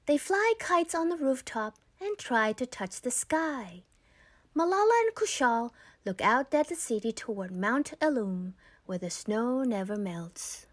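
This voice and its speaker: A woman narrating a children's picture book aloud in a measured, expressive reading style. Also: on the high side, dry recording. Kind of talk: scripted storybook narration.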